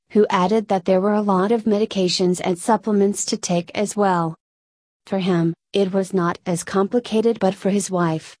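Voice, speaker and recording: A woman narrator reading a scripted feature article aloud in a measured way. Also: mid-range voice, darkish tone, dry recording.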